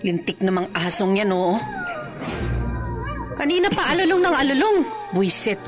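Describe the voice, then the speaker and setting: A woman acting in a Filipino horror radio drama as a feisty, skeptical young wife, sharp-tongued and expressive. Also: medium pitch, normal speed, over music, lightly acted. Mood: irritated, scoffing.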